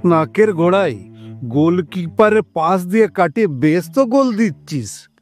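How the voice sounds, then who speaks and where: Man acting a high, swooping, boisterous middle-aged father. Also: lively intonation, moderate pace, soft background music. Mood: amused, prodding. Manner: theatrical, teasing, exclamatory with colloquial banter. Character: boisterous, boastful middle-aged pot-bellied father, jokey and protective. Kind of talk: Bengali audio story acting.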